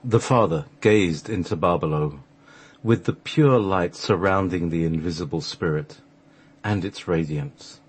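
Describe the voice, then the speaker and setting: A man reading scripted radio play narration in measured, solemn phrases, with a husky voice. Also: deep voice. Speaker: radio show host and narrator.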